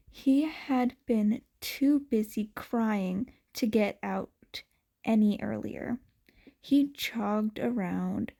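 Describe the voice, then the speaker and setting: A woman reading a fanfiction audiobook aloud in a slow, soft, measured manner. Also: on the high side. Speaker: podfic reader.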